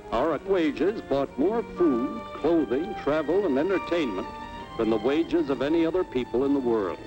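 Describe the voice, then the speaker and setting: Level-headed American cartoon everyman; persuasive, lively storytelling, vintage film soundtrack with music. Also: on the high side, lo-fi sound. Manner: folksy, persuasive, emphatic. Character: plain-spoken, level-headed everyman citizen, folksy storyteller. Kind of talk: 1950s educational cartoon narration.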